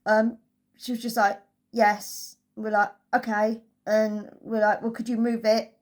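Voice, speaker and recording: A woman's voice: on the high side, flat delivery, a little slow. A woman giving a casual, chatty holiday review as a travel vlogger, telling it through anecdotes.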